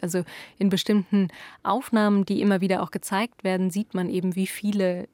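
A woman speaks as an interviewer at a middle pitch, framing questions in a conversational style. The recording is dry, with no room sound. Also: a little mellow, no room sound.

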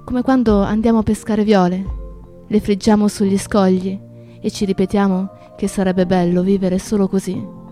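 An actress as a woman comrade telling her story in radio theatre, mid-pitched and declamatory. Her delivery is incantatory, both tender and accusing, with faint music behind it. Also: darkish tone, close to an everyday voice. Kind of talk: dramatic radio theatre narration.

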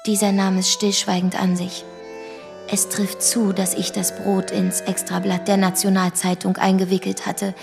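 Actress as composed woman witness in a radio drama, formal measured diction, some music underneath. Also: mid-range voice, completely flat delivery, creaky, close to an everyday voice. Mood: composed, sober. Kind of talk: radio play narration, scripted. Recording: music behind the voice.

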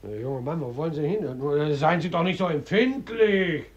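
An actor playing a smug, patronising older gentleman of the arts in a radio play, pompous and theatrical. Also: a little high, lively intonation, normal speed, lo-fi sound, a little hiss or hum, lightly acted. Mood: placating. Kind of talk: post-war German radio play acting.

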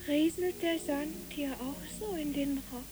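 A woman's voice: high-pitched, measured pace. A woman playing a dreamy, imaginative child in a poetic radio play, speaking earnestly and lyrically. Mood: wistful.

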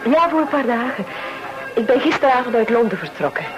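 A woman's voice: a little high, very animated, a little fast, dull audio, over music. An actress playing a polite, sociable English woman in conversational radio play dialogue. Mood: polite interest.

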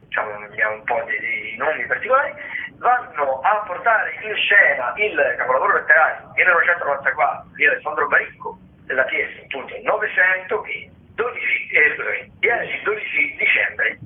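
A man's voice: fairly high pitch, sing-song, a little fast. A man hosting radio theatre listings in an informal, improvised way, rambling and hesitant, often correcting himself. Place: radio broadcast. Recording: tinny, narrow-band radio or phone sound.

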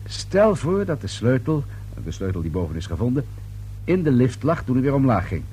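An actor playing a dry, persistent police inspector in a radio play, questioning in a measured, searching way. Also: male voice, medium pitch, big pitch swings, dark tone, natural voice. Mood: probing, dry. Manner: measured questioning with hesitant fillers. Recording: hummy, noisy old radio recording.

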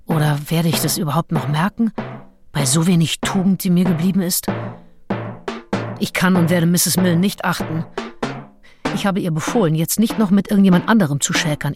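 A woman's voice: lowish voice, lightly acted. An actress playing a passionate, self-questioning Englishwoman of the early 1800s who confides her diary in an intimate, introspective way in a radio play. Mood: troubled, jealous. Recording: music behind the voice. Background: music with drums.

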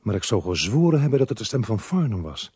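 An actor playing a brisk, world-weary police inspector who narrates his case in a radio crime drama. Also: on the low side, sing-song, darkish tone, breathy edge, dry recording, heavily acted. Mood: sober narrating.

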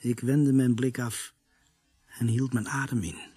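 An actor in a Dutch radio play playing a husky first-person narrator of a crime story, measured and scripted. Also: male voice, medium pitch, steady tone, dark tone, no echo, soft background music. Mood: measured, tense.

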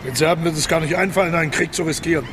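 An actor in a radio drama playing a brash, commanding German emperor with a clipped, dismissive manner. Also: male voice, on the high side, rapid-fire, noisy background, lightly acted.

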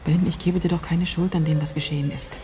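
An actress playing an anguished, soft-spoken Russian mother in an intimate radio drama. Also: on the low side, fairly even intonation, fast talker, a little hiss or hum, lightly acted. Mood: anguished pleading.

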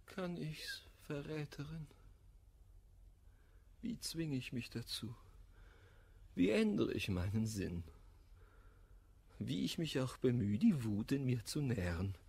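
A wounded, idealistic lover in a classical radio play. His voice is very raspy and takes its time. He declaims the verse emphatically, rising and falling widely in pitch. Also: very animated, takes it slowly, long pauses, a little mellow, full character voice. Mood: torn, pleading. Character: passionate, wounded, idealistic man, proud misanthrope in love. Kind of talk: German classical verse radio drama acting.

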